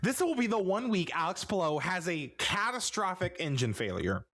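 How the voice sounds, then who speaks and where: A man's voice: high-pitched, very animated, normal speed, a bit bright. A man co-hosting a podcast in casual, playful chat, joking and laughing often. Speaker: podcast co-host.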